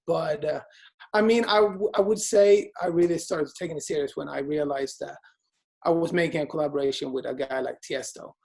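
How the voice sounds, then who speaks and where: Laid-back Swedish man with a gravelly voice. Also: fairly high pitch. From Sweden. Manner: relaxed, many fillers and restarts. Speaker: guest DJ and producer. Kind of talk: casual interview chat.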